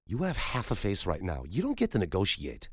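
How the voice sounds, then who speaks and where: A man voices a male paramedic in a horror audiobook, with a low, husky voice. He is steady and firm, speaking in short, terse phrases. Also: brisk, no room sound, studio quality, exaggerated voice.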